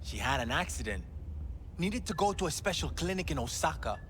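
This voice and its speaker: An actor playing a nervous young male club worker who hedges and stumbles through his answers over soft club music. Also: on the high side, big pitch swings, brisk, slight background noise. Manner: hesitant, evasive, fidgety.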